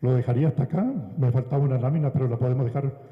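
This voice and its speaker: A man giving a measured academic lecture at a conference, with frequent hesitation fillers. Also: medium pitch, fairly even intonation. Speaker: conference speaker. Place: large conference hall. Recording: echoey, dull hall sound.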